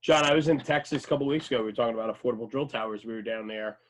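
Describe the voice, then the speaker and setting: A man's voice: medium pitch, racing. A man chatting casually as a radio show host, informal and enthusiastic, with plenty of slang. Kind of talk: casual chat.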